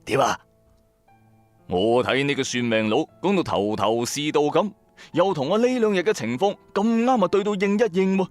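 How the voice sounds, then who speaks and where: A man's voice: fairly high pitch, animated, a little fast, soft background music. A man narrating a horror story in the first person, in a colloquial, suspenseful way.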